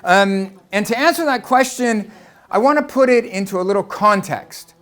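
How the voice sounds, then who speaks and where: Brief: American man, high-pitched, conversational lecturer asking rhetorical questions. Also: expressive, normal speed, a bit bright. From Los Angeles, United States. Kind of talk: presentation talk to an audience.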